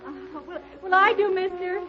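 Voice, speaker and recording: An actress playing a timid young woman from the audience, halting and hesitant, in an old-time radio drama. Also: very high voice, little pitch movement. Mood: shy. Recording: dull old radio recording, music behind.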